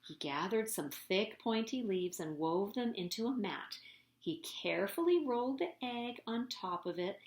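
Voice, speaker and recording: A woman reading a children's picture book aloud as a storyteller, with dramatic, deliberate emphasis, recorded in an echoey room. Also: medium pitch, expressive.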